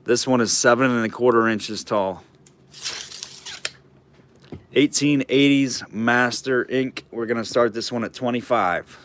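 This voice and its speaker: A man calling a live auction, his voice going hoarse and scratchy from hours of talking. He sits at a middle pitch and rambles casually between bid calls, lifting his voice for each new number. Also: normal speed, fairly bright tone.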